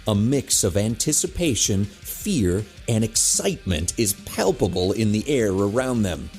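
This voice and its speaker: Low-voiced, expressive male narrator. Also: measured pace, faint music behind. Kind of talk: dramatic scripted narration.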